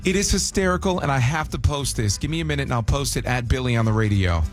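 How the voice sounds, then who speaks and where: A man's voice: mid-range voice, expressive, soft background music. A man hosting a morning radio show, upbeat and joking with callers and co-hosts. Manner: upbeat, joking, quick asides.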